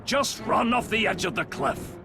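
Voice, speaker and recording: A man's voice: high voice, lively intonation, moderate pace, vocal fry. A man voicing a wisecracking male dwarf tinkerer in a video game cutscene, talking in a teasing, offhand way. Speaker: inventor who helps the hero. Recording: cutscene with music behind.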